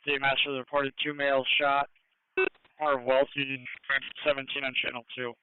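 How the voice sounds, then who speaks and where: A man's voice: medium pitch, little pitch movement, normal speed, noisy background. A man working as an emergency dispatcher, making terse announcements over a two-way radio scanner feed. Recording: narrowband fire and EMS scanner feed.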